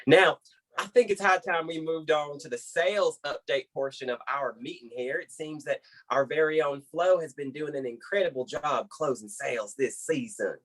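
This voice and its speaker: A man's voice: on the high side, normal speed, a bit bright. A man with an American accent playing a folksy, effusive, rambling boss on a conference call in a comedy web series.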